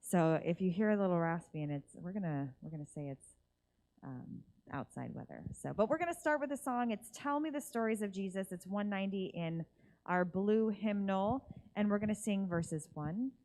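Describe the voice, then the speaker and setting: A woman leading worship in a relaxed, rambling chat, her voice a little raspy. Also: mid-range voice, moderate pace, low-quality recording. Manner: relaxed, rambling, with many fillers. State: slightly raspy voice. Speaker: worship leader. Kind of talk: casual chat at a church livestream.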